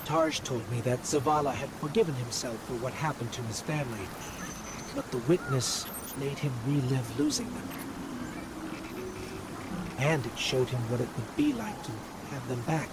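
A man voices a caring robotic companion from a sci-fi game. He briefs the player in a measured, sincere way at a middle pitch, while loud ambience runs behind him. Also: very noisy, natural voice. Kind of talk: video game story narration. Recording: voice amid loud outdoor ambience.

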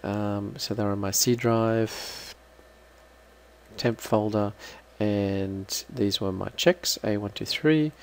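Low, gravelly-voiced man explaining software. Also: slight background noise. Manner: unscripted, halting step-by-step explaining. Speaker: software trainer. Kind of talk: screen-recorded software walkthrough.

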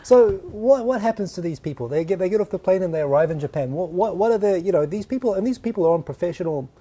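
A middle-aged man hosting a casual studio interview, lively and joking, on a lapel mic. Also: high-pitched, husky. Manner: lively, joking, quick asides. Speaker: host. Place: small studio set, seated on a sofa.

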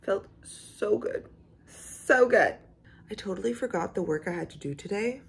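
A woman in her twenties with a slightly hoarse voice, chatting casually in a vlog with frequent asides, recorded on a phone indoors. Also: medium pitch, lively intonation, measured pace. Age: 20s. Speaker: vlogger. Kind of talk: casual vlog chat to camera. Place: small living room indoors, on a couch. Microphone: phone at arm's length.

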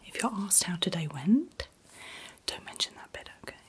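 A middle-aged woman speaking in a gentle, breathy whisper in short soft phrases, as a doctor in an ASMR roleplay. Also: fairly low pitch, big pitch swings, normal speed. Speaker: ASMR performer playing a doctor.

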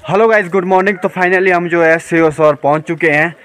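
A man's voice: high-pitched, steady tone, a little fast. A young man from Himachal Pradesh talking casually to his phone camera outdoors on a hillside, loose and chatty with many fillers. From Himachal Pradesh, India. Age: young adult. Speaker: vlogger. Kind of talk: casual vlog talk to camera. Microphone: phone held at arm's length.

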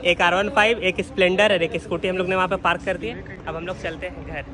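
A young man in his twenties talks quickly in a fairly high voice, hosting a joking, chatty vlog. He holds the camera at arm's length. People can be heard talking around him outdoors. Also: little pitch movement. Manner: chatty, joking, addresses viewers as guys. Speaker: vlogger host. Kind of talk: casual travel vlog to camera. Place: outdoors at a pool resort and in a shop. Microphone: handheld camera at arm's length. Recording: crowd noise outdoors.

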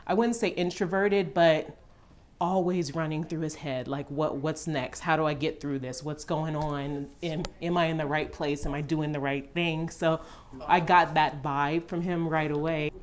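A woman's voice: low-pitched, steady tone, measured pace. A woman, an actress, casually recounting memories in an interview and acting out her own thoughts as she goes.

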